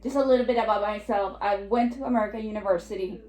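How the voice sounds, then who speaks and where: A woman's voice: medium pitch, fast, darkish tone. A woman in her fifties with a Puerto Rican accent, giving an informal, self-deprecating talk as an attorney. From Puerto Rico. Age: 50s. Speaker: estate planning attorney, speaker. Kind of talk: informal presentation. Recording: echoey room.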